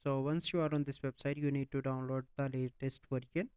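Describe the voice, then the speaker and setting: A man hosting a step-by-step software tutorial, speaking in a plain, instructional manner with repetitive phrasing. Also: medium pitch, little pitch movement, a little fast, smooth, no echo. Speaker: tutorial host.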